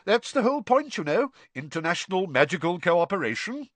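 Man plays officious young man for audiobook: pompous, raised pitch, lively intonation. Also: fairly quick, dry recording, full character voice. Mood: self-satisfied.

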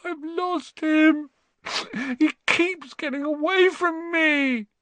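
A man's voice: sits high, steady tone, moderate pace, dry recording, pitched above the performer's own voice, lightly acted. A man voicing a tearful, anxious young schoolboy who wails miserably about his lost pet toad. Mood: miserable. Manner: wailing, miserable.

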